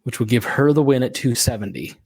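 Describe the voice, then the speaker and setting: Raspy-voiced man hosting a political chat. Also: medium pitch, a little mellow, dry recording. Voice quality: raspy, worn-out voice. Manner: loose, conversational, quick to joke. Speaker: podcast host.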